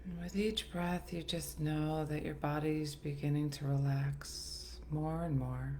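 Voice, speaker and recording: A woman leading a guided meditation in a soothing, gentle, unhurried manner with long pauses. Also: deep voice, steady tone. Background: soft background music.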